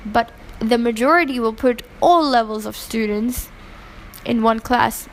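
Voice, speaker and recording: A woman's voice: on the high side, measured pace, a little hiss or hum, low-quality recording. A woman from Pakistan who grew up in Hong Kong, giving earnest, opinionated interview answers with fillers and restarts. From Pakistan, raised in Hong Kong.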